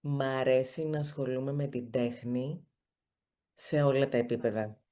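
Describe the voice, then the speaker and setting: A woman's voice: a bass voice, steady tone, moderate pace, dark tone. A woman with a Greek accent, a native Greek speaker, hosting a casual, playful interview. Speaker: show host.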